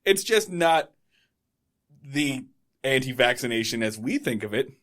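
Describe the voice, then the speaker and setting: A man's voice: medium pitch, expressive, unhurried, a bit bright. A man co-hosting a podcast, rambling loosely and laughing often. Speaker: podcast co-host. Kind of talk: casual podcast chat.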